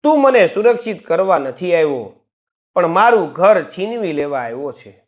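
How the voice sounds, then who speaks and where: Man doing elderly storybook father, firm, reproachful, lively rise and fall, voice on the higher side. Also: moderate pace. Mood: hurt, firm.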